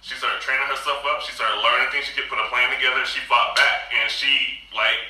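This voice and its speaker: Fast-talking man in an echoey room. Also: mid-range voice, fairly even intonation, bright, forceful tone. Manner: fast, loose talk with slang and swearing. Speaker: podcast host. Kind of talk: casual discussion. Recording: echoey room, distant mic.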